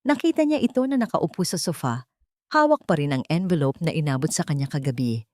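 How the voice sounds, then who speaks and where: A woman narrating a dramatic love story in a steady, scripted storytelling manner. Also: deep voice, a little fast, no echo, studio quality.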